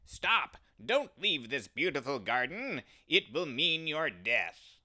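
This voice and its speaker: Guy doing a loud coaxing evil spirit, higher and pushy, storybook villain. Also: sing-song, takes it slowly, heavily acted. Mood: commanding. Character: menacing male evil spirit, tempter.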